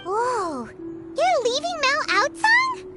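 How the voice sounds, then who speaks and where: A woman's voice: extremely high pitch, wildly swooping pitch, slow pace, pushed and bright, soft background music, heavily acted. A woman voicing a tiny, cheeky, childlike fairy girl companion in a video game, talking bluntly. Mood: astonished. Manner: childish, refers to self by name, blunt.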